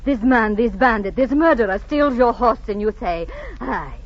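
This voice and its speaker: An actress playing a devout, fiery, strong-willed Californio ranch wife, emphatic and dramatic in 1940s radio style. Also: female voice, fairly high pitch, animated, normal speed, natural voice. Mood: indignant. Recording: muffled vintage radio recording.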